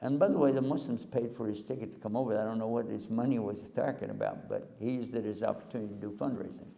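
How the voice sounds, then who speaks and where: A man's voice: fairly low pitch, steady tone, fast, creaky, mellow. An older man from Texas, preaching a humorous lecture to a live audience on a handheld mic in a large hall, folksy and joking. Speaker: Islamic preacher and TV host. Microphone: handheld mic, far camera. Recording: long hall reverb.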